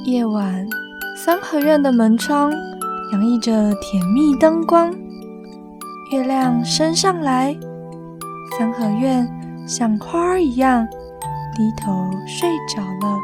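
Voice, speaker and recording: A woman's voice: a little high, animated, takes it slowly, long pauses. A female reader reading a picture book aloud in a scripted style. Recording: children's music behind the voice.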